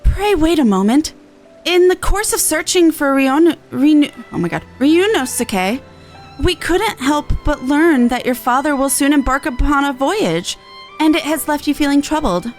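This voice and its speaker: A woman voicing an earnest, kindly festival envoy from a fantasy game, with formal, archaic, measured phrasing. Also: high voice, lively intonation, faint music behind. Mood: earnest, apologetic. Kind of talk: reading game dialogue aloud.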